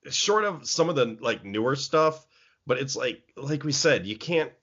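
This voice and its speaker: A man's voice: medium pitch, animated, slow pace, a bit bright, lo-fi sound. A man co-hosting a podcast in casual discussion, rambling with frequent restarts. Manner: rambling, many restarts and "it's like". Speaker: podcast co-host.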